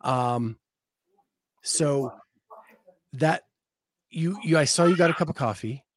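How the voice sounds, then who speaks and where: A podcast host asking interview questions in a jokey, relaxed way. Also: mid-range voice, slow pace, very noisy.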